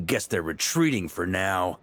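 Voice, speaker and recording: A man playing a confident, easygoing veteran knight commander in a video game, explaining things in a measured, assured way. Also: deep voice, big pitch swings, fairly bright tone, slight background noise, heavily acted. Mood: assured, pragmatic. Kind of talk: video game dialogue acting.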